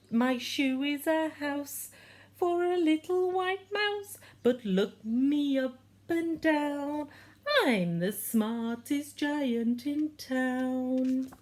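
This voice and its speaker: A woman's voice: high voice, darkish tone, pitched above the performer's own voice, heavily acted. Woman putting on a cheerful, lilting, kindly giant. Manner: sing-song, cheery.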